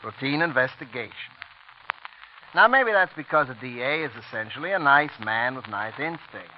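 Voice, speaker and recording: An actor plays a young, unscrupulous prosecutor in a vintage radio crime play. He talks bluntly, with smug, needling confidence. The old recording is muffled and clearly noisy. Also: mid-range voice, lively intonation, moderate pace, lightly acted. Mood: smug, probing. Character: clever, brash, unscrupulous young male assistant district attorney. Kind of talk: 1940s radio drama acting.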